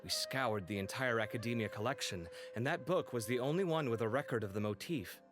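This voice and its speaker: A man voiced by an actor briefing his friends, brisk and matter-of-fact, mid-pitched. Faint music plays in the game cutscene behind him. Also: close to an everyday voice.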